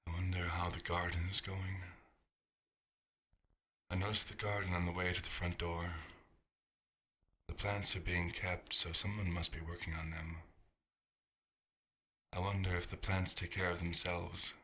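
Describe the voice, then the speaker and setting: A man playing a nostalgic, fastidious vampire doctor in an ASMR roleplay, murmuring to himself in an unhurried, gently wistful way. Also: deep voice, little pitch movement. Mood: calm, musing.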